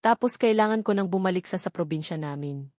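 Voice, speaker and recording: A woman's voice: lowish voice, a little fast, darkish tone, no echo, clean studio sound, natural voice. A woman narrator voicing a remorseful, evasive man with a Filipino accent, pleading hesitantly in an audio romance drama. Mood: hesitant, earnest.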